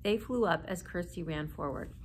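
A woman's voice: lowish voice, normal speed, slight background noise. A woman in her forties reading a children's story aloud expressively, seated indoors a short distance from the camera.

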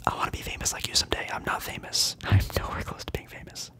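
A young adult ASMR livestream host whispering casually to viewers, rambling with fillers and repeating trigger words. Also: fast talker. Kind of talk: whispered ASMR chat answering live viewers.

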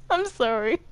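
A giggly, excitable woman chatting casually with friends while playing a game. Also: a little high, smooth, no room sound. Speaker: player in a group gaming roleplay series. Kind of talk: casual gaming chat with friends.

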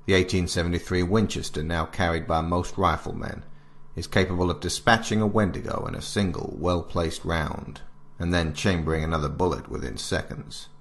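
Man acting as grave military manual narrator, bass-low, creaky, measured, formal, instructive. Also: steady tone. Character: stern military handbook narrator, grave and authoritative. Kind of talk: scripted narration of an alternate-history field manual.

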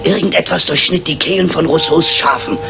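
An actor playing a fearful, gloomy and superstitious villager in a German radio drama. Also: male voice, on the high side, a little fast, vocal fry, music in the background. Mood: ominous.

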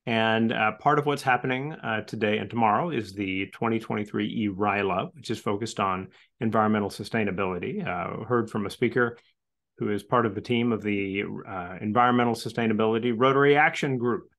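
A man's voice: on the low side, fairly quick, fairly bright tone. A man in his fifties hosting an online club meeting, friendly and upbeat, often repeating words. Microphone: video call.

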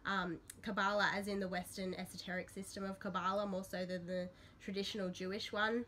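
A woman in her thirties, a little sick with a slightly croaky voice, chatting to camera in a small bedroom in a rambling way with frequent ums. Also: medium pitch, level tone, brisk, a bit bright, a little hiss or hum. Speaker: substitute host of a witchcraft vlog. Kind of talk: casual to-camera vlog talk. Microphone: built-in camera mic at close range.

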